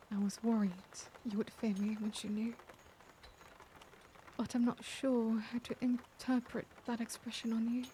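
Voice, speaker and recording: A woman acts out a tender, tormented vampire lady in an intimate ASMR roleplay. Her voice is dark and relaxed, often slipping toward a breathy whisper, with formal, old-fashioned phrasing. Faint-to-clear background ambience sits behind her. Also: mid-range voice, a little hiss or hum, close to an everyday voice. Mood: confessional, wistful.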